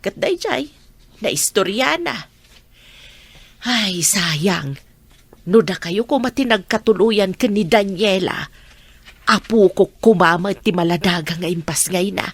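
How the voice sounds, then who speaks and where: Slow, swooping, doting Ilocano mother, played by a woman. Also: medium pitch, lively intonation, slightly rough, no echo, full character voice. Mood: chatty, nosy. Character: chatty, doting, meddling mother of a grown son. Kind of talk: radio drama acting.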